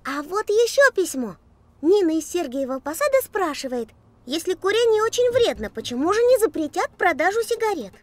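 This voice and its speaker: A woman's voice: extremely high pitch, big pitch swings, brisk, darkish tone, no echo. A woman voicing a curious, earnest little girl in an educational children's cartoon, inquisitive and neatly enunciated. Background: music.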